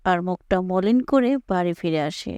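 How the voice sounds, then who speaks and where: A woman's voice: lowish voice, normal speed, darkish tone, clear, resonant tone, no room sound, clean studio sound. A woman narrating a scripted cartoon moral story as a storyteller.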